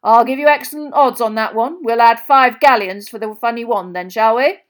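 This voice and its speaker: Lady doing a big jolly wizard bloke from a storybook, loud n breezy, pitched up, chummy. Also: big pitch swings, normal speed. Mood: jolly, eager. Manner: hearty, carefree, chummy exclamations. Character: jovial, boisterous, breezy wizard sports official, a man.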